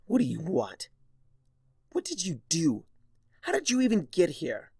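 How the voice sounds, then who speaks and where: A man's voice: sits high, wildly swooping pitch, unhurried, darkish tone, breathy edge, no room sound, clean studio sound, pitched above the performer's own voice, exaggerated voice. A man voicing a defiant, bitter female pony villain in a fan fiction reading, with a sneering, defensive manner. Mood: alarmed demanding.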